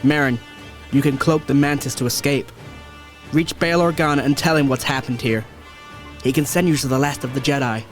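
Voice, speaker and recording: A man's voice: mid-range voice, normal speed, soft background music, lightly acted. Man playing an earnest, resolute young rebel Jedi. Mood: urgent, resolute. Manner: urgent, earnest. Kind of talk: audio drama acting.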